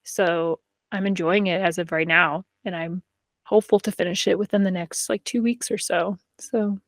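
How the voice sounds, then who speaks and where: A woman's voice: lowish voice, steady tone, moderate pace, no echo, clean studio sound. A woman co-hosting a podcast in casual, informal chat, her sentences peppered with "like". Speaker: podcast co-host. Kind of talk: casual chat about books and TV.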